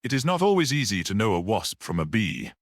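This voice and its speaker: Casting: man reading as a polite, dry, self-assured storybook detective, formal and measured, with a swooping intonation. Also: lowish voice, sing-song, dry recording. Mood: courteous, dry. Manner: formal, measured, clear and courteous.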